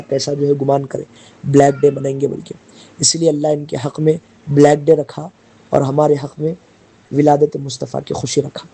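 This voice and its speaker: Husky, dark-voiced man preaching. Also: a little high, poor audio. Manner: didactic, emphatic, repeats and quotes scripture. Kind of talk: religious explanation in a voice message.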